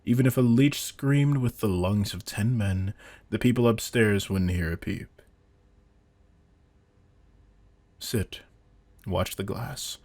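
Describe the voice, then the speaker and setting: A man playing a veteran vampire hunter in an audio drama, a controlled, intense mentor who speaks in a deliberate, commanding, quietly menacing way. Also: deep voice, no echo, exaggerated voice. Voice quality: gravelly, husky. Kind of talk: scripted roleplay audio drama.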